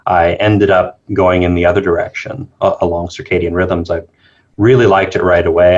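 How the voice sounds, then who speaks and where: A man from Canada speaks low and slow-to-settle, with frequent fillers and restarts as he works through his answers. His voice comes over a dull, lo-fi line that takes the sparkle off it. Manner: thoughtful, hesitant, many fillers and restarts. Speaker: guest, circadian biologist. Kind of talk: casual interview talk.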